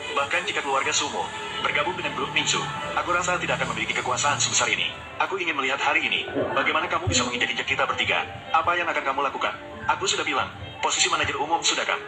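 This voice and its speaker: A man's voice: fairly high pitch, very fast, close to an everyday voice. A man dubbing a defiant young man confronting a rival, in a drama. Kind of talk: dubbed drama. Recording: echoey, loud music.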